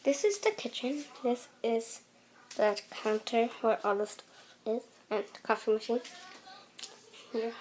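A young girl walks through a small apartment with a phone in her hand, narrating in a slow, halting way as she points things out. Her voice sits a little high, and the recording picks up steady noise from the handheld phone. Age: child. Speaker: vlogger giving a home tour. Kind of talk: casual home tour narration. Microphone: handheld phone camera, moving.

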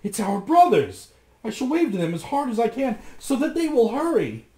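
A man's voice: high-pitched, sing-song, normal speed, dark tone, voice pushed up. A man voicing an anxious young woman keeping watch from a tower in a storybook reading. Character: anxious young woman, the wife's sister, lookout. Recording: echoey room.

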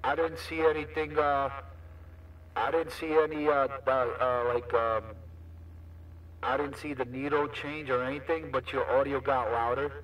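A man's voice: mid-range voice, little pitch movement, unhurried, lo-fi sound, a little hiss or hum. A man chatting casually as a CB radio operator, with repetitive, slangy patter. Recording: distant CB radio transmission.

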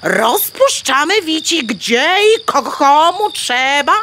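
A bossy hen general from a children's radio play. The voice is high and bright, swooping through exasperated orders and little clucks. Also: female voice, very animated, slow, slight background noise, full character voice. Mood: businesslike. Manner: commanding, exasperated, clucking between phrases. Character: bossy, sharp-tongued hen commander plotting battle. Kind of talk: children's audio play acting. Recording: faint outdoor cricket ambience.

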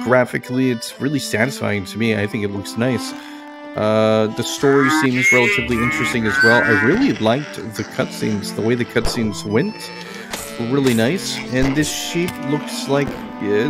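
A man's voice: medium pitch, normal speed. A man giving casual video game commentary, rambling and thinking aloud as he plays. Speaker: gaming video host. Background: game music.

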